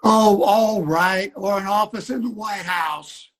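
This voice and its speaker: A man's voice: sits high, slow, a bit bright, slight background noise. A man performing theatrical scripted narration in a reader's theater production.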